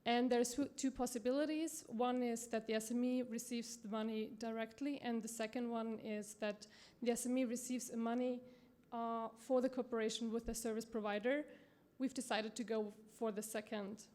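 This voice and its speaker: A woman from Austria with an Austrian accent, giving a conference presentation in a halting way with many fillers and self-corrections. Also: a little high, fairly even intonation, mellow. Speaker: conference speaker from a promotional bank.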